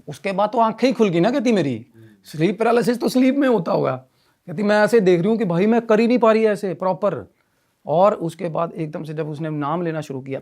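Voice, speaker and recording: A man telling a story in animated conversational style, often repeating himself and mixing in English phrases. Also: on the high side. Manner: repetitive, mixes Hindi with English phrases. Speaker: podcast guest telling a ghost story.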